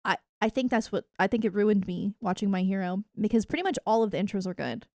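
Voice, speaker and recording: A woman chatting casually to viewers on a livestream in a giggly, animated, rambling way. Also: medium pitch, fast, no room sound, clean studio sound. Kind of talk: casual livestream chat about anime and games.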